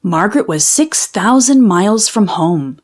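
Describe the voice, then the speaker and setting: A woman reading a children's book aloud as an expressive storyteller. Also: medium pitch, unhurried. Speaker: storybook reader. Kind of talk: children's read-aloud narration.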